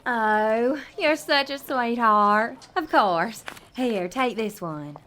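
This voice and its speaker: A woman's voice: on the high side, animated, slow pace, a bit bright, a little hiss or hum. A woman playing an innocent, friendly young pageant contestant in an audio drama, guileless and sweet. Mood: sweet, trusting.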